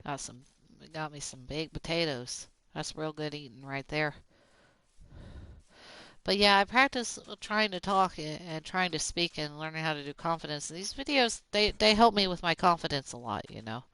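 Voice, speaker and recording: Bright fast-talking Southern-accented speaker. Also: mid-range voice, smooth, no room sound. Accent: Southern US, Oklahoma. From Oklahoma. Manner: fast-talking, rambling, frequent fillers. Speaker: gaming streamer. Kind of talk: casual chat while playing a game.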